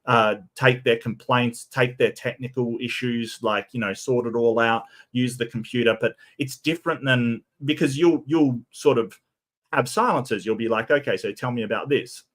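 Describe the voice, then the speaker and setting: A man hosting a solo stream, talking in a casual way. His voice is croaky and tired, and he often stammers and repeats his first words. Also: medium pitch, moderate pace, fairly bright tone. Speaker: streamer host.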